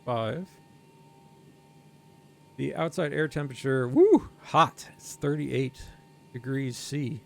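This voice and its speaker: Male streamer, very gravelly fry throughout, middle pitch, talks through steps and reads figures slowly. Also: darkish tone, a little hiss or hum. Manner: explains steps while thinking aloud; reads out numbers slowly and carefully. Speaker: flight sim streamer. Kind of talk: casual livestream commentary.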